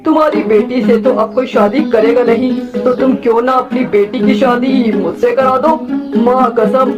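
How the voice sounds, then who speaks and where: A scheming cartoon parrot with an extremely high voice. He is smug and wheedling, a taunting gossip, with loud music behind him. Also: fairly even intonation, moderate pace, dull audio. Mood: sly, wheedling. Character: sly, gossiping male parrot, scheming suitor. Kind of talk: children's cartoon voice acting.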